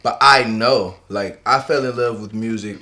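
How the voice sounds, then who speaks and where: A man's voice: on the low side, moderate pace, sharp tone. A young man hosting a casual interview, talking in a loose, slangy, profane way. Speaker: host.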